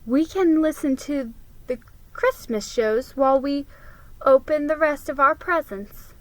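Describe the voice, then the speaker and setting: A woman's voice: sits high, unhurried, no room sound, pitched above the performer's own voice, rough recording. A woman voicing an affectionate, composed mother speaking to her children in a storybook. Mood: cheerful.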